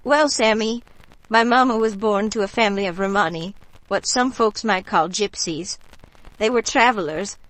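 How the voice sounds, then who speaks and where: A woman's voice: medium pitch, dry recording, lightly acted, rough recording. Actress as folksy bayou storyteller woman, close and dry. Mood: fond, reminiscing. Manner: unhurried, folksy, gently mystical storytelling. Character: warm local bayou woman, storyteller daughter of travelers. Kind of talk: radio drama acting.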